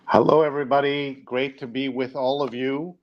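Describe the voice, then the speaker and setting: A man's voice: mid-range voice, flat delivery, normal speed. A man from New York hosting a live talk show in a casual, conversational presenting style. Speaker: show host. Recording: dull, lo-fi online stream audio.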